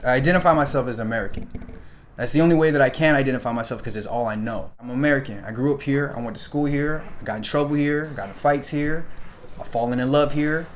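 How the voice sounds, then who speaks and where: A man's voice: mid-range voice, fast talker, slight background noise. A man speaking bluntly and matter-of-factly about his identity in an interview. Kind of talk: personal interview.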